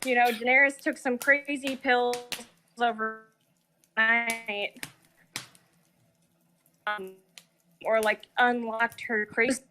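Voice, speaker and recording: A woman's voice: fairly high pitch, fairly even intonation, slow, long pauses, bright, forceful tone, soft background music. A woman giving sardonic opinions in a casual conversation, often paraphrasing others with mocking mimicry. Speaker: guest co-discussant. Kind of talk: casual opinionated chat about a TV series.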